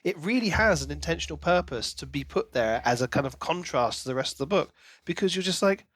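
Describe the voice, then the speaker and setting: Male co-host, slightly high voice, enthusiastic and informal book chat, dry recording. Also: animated, brisk, a bit bright, no echo. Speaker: podcast co-host. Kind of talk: casual book discussion.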